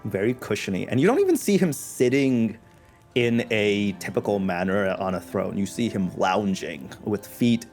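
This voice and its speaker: A man's voice: on the low side, animated, a little mellow, soft background music. Man painting a lavish scene, casual. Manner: lush, slow scene-painting with casual asides. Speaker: player describing his character's throne room. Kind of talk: descriptive tabletop roleplay narration.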